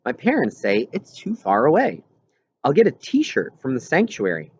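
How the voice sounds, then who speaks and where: A man's voice: medium pitch, huge pitch swings, normal speed, a little mellow, slight background noise, pitched above the performer's own voice. A man reading as a disappointed, sulky young girl with a plaintive manner in a storybook. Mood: disappointed, sarcastic. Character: disappointed, sulky young girl narrator who loves whales. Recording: dull, lo-fi recording.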